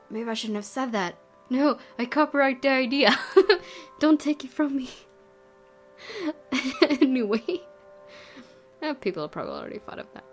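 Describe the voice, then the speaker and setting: A woman's voice: high voice, sing-song, slow pace, pauses often. A woman hosting a let's play, commenting casually in a chatty, giggly, rambling way. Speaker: gaming video host. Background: soft game music.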